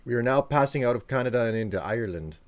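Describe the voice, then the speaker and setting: A man's voice: mid-range voice, lively intonation, quick pace, no room sound. A man chatting casually like a streamer to viewers, in a rambling, joking way, playing around with accents. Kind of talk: casual livestream chat, answering viewers.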